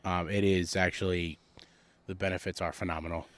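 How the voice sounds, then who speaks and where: A man's voice: sits low, level tone, brisk, fairly bright tone, no room sound. A young man co-hosting a casual podcast with playful, joking asides, recorded outdoors on a porch. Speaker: podcast co-host. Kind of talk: casual chat.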